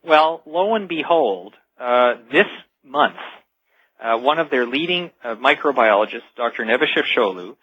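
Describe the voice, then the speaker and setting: Male radio interview guest, husky and emphatic, explains like a storyteller; dry, muffled lo-fi broadcast. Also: medium pitch, animated, normal speed, no room sound. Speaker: guest expert and author. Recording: dull lo-fi radio sound.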